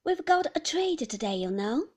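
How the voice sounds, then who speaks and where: A woman voicing a bright, cheerful middle-aged nurse who talks down to her elderly patient. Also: high voice, very animated, moderate pace, pitched above the performer's own voice. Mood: chirpy. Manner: cheerful, coaxing, talking down to a patient.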